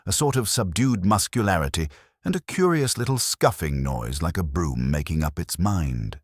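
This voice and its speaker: A man narrating a period comic mystery from a script in a wry, measured, literary manner. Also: low-pitched, no room sound, clean studio sound. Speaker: narrator of an audio mystery story.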